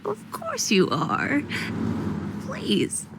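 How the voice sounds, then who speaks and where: Older female shopkeeper, creaky voice, unhurried, genteel, coaxing, sly, video game drama. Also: sits high, wildly swooping pitch, heavy background noise, exaggerated voice. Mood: inviting, genteel. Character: courteous, sly older woman keeping a cluttered curio shop.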